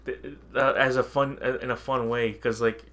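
A man's voice: mid-range voice, little pitch movement, moderate pace, a bit bright. A man co-hosting a podcast, chatting casually about movies in a jokey way with some casual swearing. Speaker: podcast co-host. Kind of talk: casual chat, movie review.

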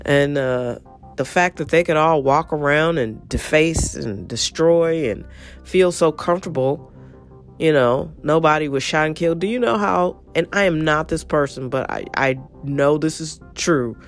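A woman hosting her show alone, husky and a little nasal from congestion. She talks bluntly and with energy, and she swears casually. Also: deep voice, measured pace, faint music behind. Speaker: show host. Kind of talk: opinionated solo monologue.

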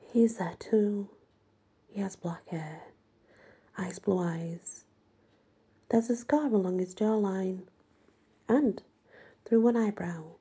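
A woman's voice: mid-range voice, slow pace, pauses often, mellow, breathy edge. A woman voicing a sardonic, defensive teenage fairy-tale heroine in an audiobook, with a wry, evasive manner. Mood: dreamy.

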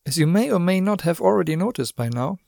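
A German-accented man hosts a business podcast, his voice a little higher than most men's and gravelly with fry. He explains things in a friendly way and turns to the listener now and then, and the dry recording puts him close to the mic. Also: expressive, fast, darkish tone, no echo. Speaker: podcast host. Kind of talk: scripted podcast monologue.

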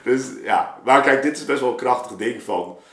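A man talking casually and reflectively as a guest storyteller, halting and thinking aloud, recorded in an echoey room. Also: mid-range voice, a bit bright. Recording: roomy, echoing space.

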